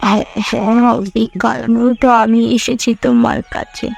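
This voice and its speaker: Woman voicing a creaky old village granny. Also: fairly high pitch, fairly even intonation, moderate pace, darkish tone, soft background music. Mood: solemn, knowing. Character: old granny with a magic book, knowing, solemn guardian of treasure. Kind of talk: cartoon fairy tale voice acting.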